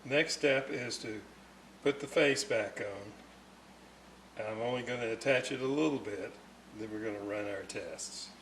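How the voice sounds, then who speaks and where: A man giving an unscripted, hands-on technical explanation in a methodical, halting way, often searching for words. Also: mid-range voice, fairly bright tone, a little hiss or hum. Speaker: radio restorer presenting repair videos.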